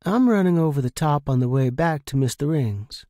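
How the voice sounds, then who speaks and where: A man reading an audiobook, voicing a flirtatious woman pilot, tentative and gently hopeful, with drawn-out phrasing. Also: on the high side, lively intonation, no echo, clean studio sound, lightly acted. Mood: casual, suggesting. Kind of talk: character dialogue in audiobook reading.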